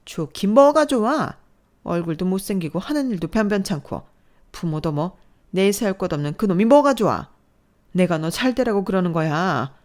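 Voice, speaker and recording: A woman doing a shrill furious mother-in-law. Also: animated, normal speed, lightly acted. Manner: shouting, scolding, harsh. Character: furious domineering middle-aged mother-in-law.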